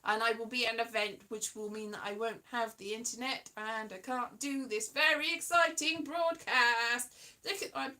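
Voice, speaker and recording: A woman's voice: a little high, fairly even intonation, moderate pace, bright, forceful tone. A woman chatting casually on a solo livestream from home, rambling and slipping into sing-song. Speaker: livestream host. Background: guitar music.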